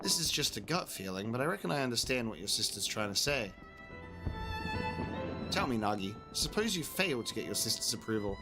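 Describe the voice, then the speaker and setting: A kindly, shrewd guild receptionist woman, read aloud by a man in a mid-range voice. She speaks with gentle, measured warmth, like a counter-keeper who has seen countless adventurers come and go. Game music plays behind. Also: medium pitch, big pitch swings, low-quality recording. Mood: wise, reflective.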